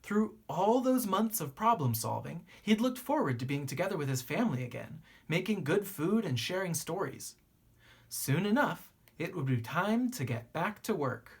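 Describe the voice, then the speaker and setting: A woman reading a children's book aloud like a teacher, with deliberate phrasing and expressive pauses. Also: on the low side, slightly rough.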